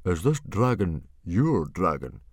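A man voicing a big Viking chief and father in a storybook, booming, blunt and commanding. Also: big pitch swings, slow pace, breathy edge, no room sound, studio quality, heavily acted. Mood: doubtful.

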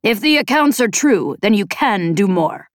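A woman's voice: medium pitch, lively intonation, moderate pace, no room sound, studio quality, natural voice. Woman playing stiff-accented, insistent older man. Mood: urgent, pleading. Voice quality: stiff odd foreign accent. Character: emotional man in his late fifties, searching inventor.

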